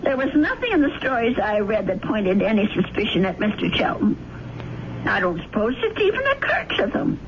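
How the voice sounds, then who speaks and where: An old landlady in a vintage radio drama, fussy, motherly and righteous. Her pitch moves in wide swings through old-fashioned, scolding phrases. The broadcast is dull, with clear background noise. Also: wildly swooping pitch, normal speed. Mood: insistent, earnest. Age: older. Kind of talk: 1970s radio drama acting.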